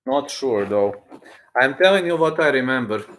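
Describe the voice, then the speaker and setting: A man in his thirties in casual unscripted livestream chat, halting and repeating himself as he thinks aloud while answering viewers. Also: mid-range voice, lively intonation, fairly bright tone, poor audio. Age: 30s.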